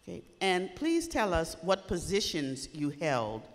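An older woman moderating a panel, asking questions slowly and clearly and gently prompting her guests. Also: on the low side, huge pitch swings. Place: indoor community hall. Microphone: handheld mic. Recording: long echo in a hall.

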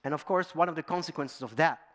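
A man's voice: fairly high pitch, fast talker, fairly bright tone. A middle-aged man from Belgium giving a storytelling conference talk in a large auditorium, addressing the audience with fillers. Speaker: guest speaker.

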